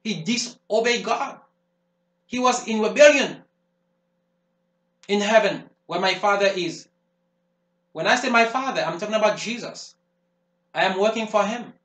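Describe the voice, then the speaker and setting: An actor playing a fervent preacher who speaks in emphatic, repetitive sermon phrases. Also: male voice, high-pitched, unhurried, pauses often, fairly bright tone. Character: fervent male preacher warning of the devil. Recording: roomy echo.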